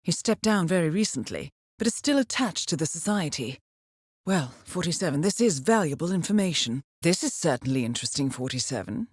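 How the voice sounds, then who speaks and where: A woman playing a cool, composed intelligence handler in a video game, giving mission briefings in a measured, formal, dryly witty manner. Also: low-pitched, sing-song, slightly rough, no echo, clean studio sound, full character voice. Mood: measured, informative.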